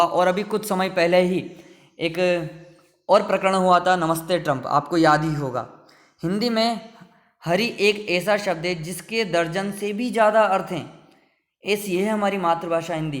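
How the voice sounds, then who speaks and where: A man's voice: high voice, a bit bright, long reverb tail. A man hosting his channel and giving a classroom-style lesson. He asks questions and answers them himself, and he repeats key facts for emphasis. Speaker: teacher and channel host.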